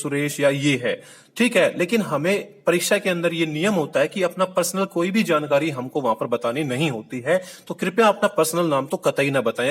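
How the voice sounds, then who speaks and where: A man giving a video lesson, explaining step by step, repeating key points and asking the viewer questions. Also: on the high side. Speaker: Hindi teacher. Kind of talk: classroom-style video lesson.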